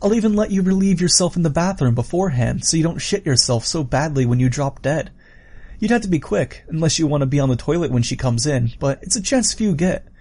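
A man's voice: a little high, lively intonation, brisk, mellow, pitched above the performer's own voice. A man voicing a sadistic, playful villain in an audiobook story, musing and taunting in a theatrical way. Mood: coaxing threat. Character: sadistic, playful adult male killer, theatrically menacing villain.

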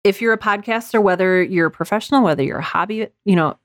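A woman's voice: mid-range voice, quick pace, dry recording, studio quality. A woman being interviewed as a writer, explaining her craft conversationally and acting out little example quotes. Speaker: audio drama writer and podcast guest.

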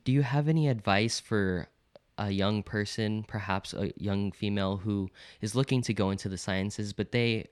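A man hosts an interview and asks engaged, conversational questions. His voice is very deep. The recording is dry and close, with no room sound. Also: normal speed, smooth, no room sound.

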